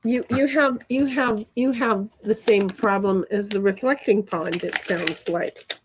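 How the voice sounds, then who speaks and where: A woman's voice: medium pitch, fairly even intonation, unhurried, a little hiss or hum, rough recording. A middle-aged woman hosting a casual livestream, rambling and swearing offhandedly as she chats. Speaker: livestream host. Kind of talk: casual livestream chat, reading chat aloud.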